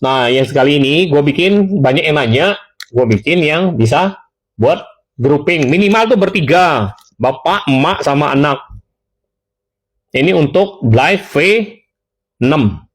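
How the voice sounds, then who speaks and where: A man's voice: on the high side, expressive, measured pace, husky, poor audio. A man giving a casual, chatty tutorial, talking straight to viewers and correcting himself as he goes. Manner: chatty, addresses viewers directly, self-corrects. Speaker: seller demonstrating intercoms.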